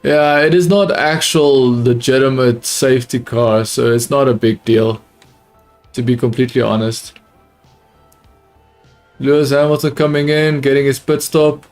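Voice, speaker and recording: Excitable man with near-British non-native accent. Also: medium pitch, a little slow, faint music behind. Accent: close to British, not fully. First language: not English. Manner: excitable, repeats himself, calls viewers dude. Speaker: livestream host watching a race. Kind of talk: casual live reaction commentary.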